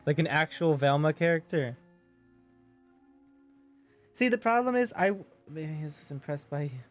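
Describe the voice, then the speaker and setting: Deep-voiced man chatting with his stream. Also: lively intonation, a little slow, pauses often, faint music behind. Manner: chatty, joking, reads viewer messages aloud. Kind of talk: casual chat with stream viewers.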